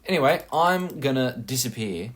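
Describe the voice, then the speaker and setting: A man's voice: mid-range voice, lively intonation, moderate pace, fairly bright tone. A young Australian man rambling casually over his gameplay, with many fillers and self-mocking asides. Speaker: gaming channel host.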